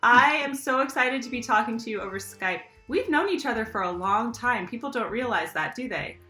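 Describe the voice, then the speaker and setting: A woman's voice: a little high, animated, brisk, pushed and bright, echoey room, soft background music. A woman hosting an interview over a video call, effusive and laughing often. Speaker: host.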